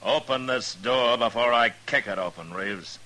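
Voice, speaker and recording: An actor playing a stern, steady western marshal in 1950s radio drama style, plain-spoken and authoritative. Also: male voice, mid-range voice, normal speed, lightly acted. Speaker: lead actor and narrator. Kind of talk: 1950s radio western acting, first-person narration. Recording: old radio recording with music.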